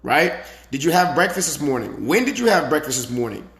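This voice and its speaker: Raspy-voiced male fitness coach, higher-pitched. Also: big pitch swings, fairly quick, a bit bright. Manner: emphatic, repetitive, checks in with "right?" and rhetorical questions. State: slightly hoarse from the previous day. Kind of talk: casual motivational live-stream talk.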